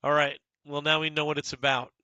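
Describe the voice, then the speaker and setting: Bright-voiced man chatting over a golf game. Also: on the high side, a little fast, dry recording, low-quality recording. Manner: relaxed, chatty, talks to viewers by name. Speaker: gaming streamer. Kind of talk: casual commentary over gameplay.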